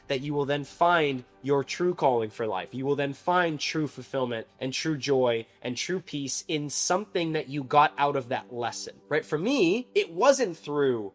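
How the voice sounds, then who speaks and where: A man's voice: fairly high pitch, expressive, a little slow, hardly any pauses. A man in his twenties giving an earnest, reflective motivational monologue as a life coach, with emphatic phrasing. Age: 20s. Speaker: life coach and video creator.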